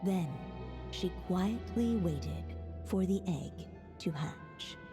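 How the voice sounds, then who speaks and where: A woman voicing a calm storybook narrator in a game, measured and steady. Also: lowish voice. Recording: long reverb with music.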